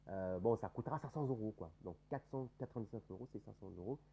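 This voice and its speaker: A man's voice: fairly low pitch, fast, dark tone, a little hiss or hum. A man with a head cold, hosting a casual gaming video, talking over news with many fillers and restarts. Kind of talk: casual spoken game news commentary.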